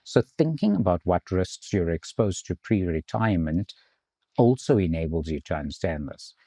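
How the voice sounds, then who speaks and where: A man from South Africa, an insurance executive, explaining finance in a measured way in an interview. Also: sits low, lively intonation, warm tone, dry recording. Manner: measured, explanatory, some restarts.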